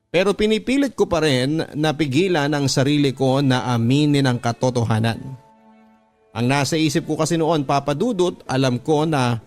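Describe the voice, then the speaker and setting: Filipino man narrating over prominent music. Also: mid-range voice. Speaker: radio drama narrator reading a listener's letter. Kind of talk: scripted dramatic first-person narration in radio drama. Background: background music.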